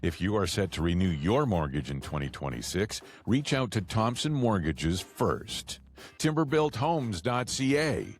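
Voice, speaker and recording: A man with a fairly low voice reads scripted sponsor messages. His announcer delivery is animated, with pitch moving widely through each phrase. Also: unhurried.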